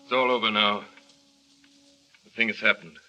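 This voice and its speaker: An actor playing a tormented husband in an old radio drama, speaking gravely and low. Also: male voice, steady tone, moderate pace, bright, forceful tone, lo-fi sound, soft background music. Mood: despairing. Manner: grave, low-spoken confession. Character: tormented guilty husband, grown man, brooding. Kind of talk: 1940s radio acting.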